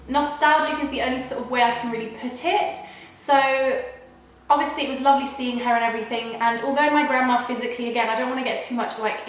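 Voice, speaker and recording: A young woman chatting to camera at home with a nasal, under-the-weather sound, her voice sitting a little high. She rambles casually, repeating words and restarting sentences. The room around her is very echoey. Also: fairly bright tone, slight background noise. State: unwell with a cold. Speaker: vlogger.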